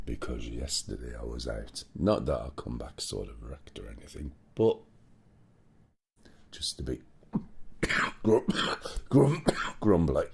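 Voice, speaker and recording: A man with a hoarse, rumbly voice, chatting casually as a livestream host while he thinks aloud over a map. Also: sing-song, slow, pauses often. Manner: muses aloud to himself while checking a map. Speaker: streamer. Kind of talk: casual gaming livestream chat.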